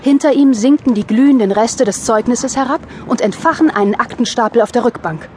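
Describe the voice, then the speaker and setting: A woman's voice: on the high side, brisk, background noise. A woman narrating an audio drama in a scripted storytelling style.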